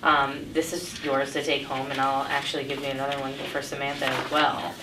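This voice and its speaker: Low female voice, acted friendly navigator, casual, halting, roomy off-mic sound, noisy background. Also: level tone, distant mic. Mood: friendly, instructive. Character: friendly female patient navigator guiding a cancer patient. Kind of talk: casual role-played dialogue. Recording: echoey, off-mic, clear background noise.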